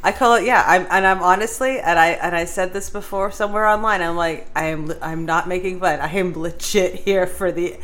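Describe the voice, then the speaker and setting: A woman's voice: lowish voice, steady tone, brisk, hardly any pauses, fairly bright tone. A woman co-hosting a podcast in casual, humorous chat, wry and theatrically emphatic. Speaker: podcast co-host.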